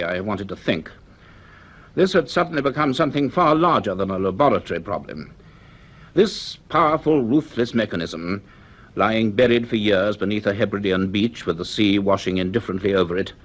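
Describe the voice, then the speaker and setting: An actor playing a grave university professor in a radio drama, speaking in a deliberate, earnest, emphatic way with thoughtful pauses. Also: male voice, lowish voice. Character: grave male university professor recounting a mystery. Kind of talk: radio drama acting, part notes, part dialogue.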